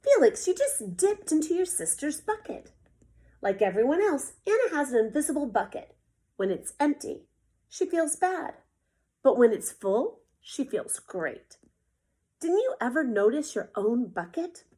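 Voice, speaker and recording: A woman voicing a patient old grandfather who gently explains things in a children's storybook. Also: high voice, sing-song, measured pace, voice pushed up. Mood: gently admonishing. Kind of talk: children's storybook character reading.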